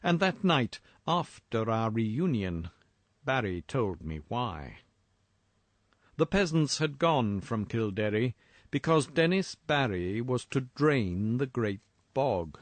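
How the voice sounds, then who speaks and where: A man reading a first-person horror story in a measured, formal, dramatic storytelling manner. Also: mid-range voice, big pitch swings, no room sound, studio quality.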